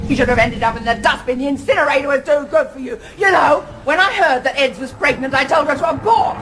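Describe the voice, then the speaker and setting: Venomous raging woman, played by an actress, high and shouting over music. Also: fast talker, close to an everyday voice. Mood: furious. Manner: shrieking, venomous. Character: vicious, raging woman spewing bitter abuse. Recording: crowd noise and music behind. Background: crowd and music.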